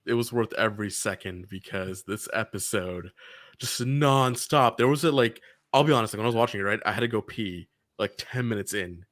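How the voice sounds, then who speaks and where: A man's voice: lowish voice, fairly quick, a bit bright, no room sound, studio quality. A man co-hosting a podcast in easygoing, chatty conversation who laughs often. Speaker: podcast co-host. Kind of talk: casual podcast chat.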